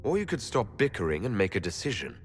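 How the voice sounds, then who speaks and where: A man's voice: lowish voice, wildly swooping pitch, quick pace, faint music behind. A man playing a frank young elven hero in a video game, speaking in a direct, questioning way. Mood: decisive, concerned.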